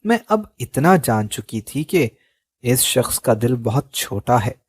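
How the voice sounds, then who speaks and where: Slow, solemn male storyteller. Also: medium pitch, very animated, breathy edge, no room sound. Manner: slow, measured, solemn reading. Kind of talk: emotional story narration.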